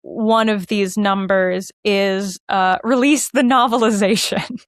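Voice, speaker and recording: A woman chatting casually as a podcast guest, playful and animated in the way she talks. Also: medium pitch, slow pace, no echo, clean studio sound. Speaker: podcast guest, musical theater writer and performer. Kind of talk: casual interview chat.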